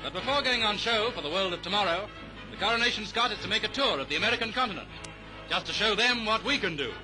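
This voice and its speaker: Male British newsreel narrator, 1930s style, high register, declamatory delivery over a music bed. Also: lively intonation, fast, low-quality recording. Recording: vintage 1930s newsreel soundtrack.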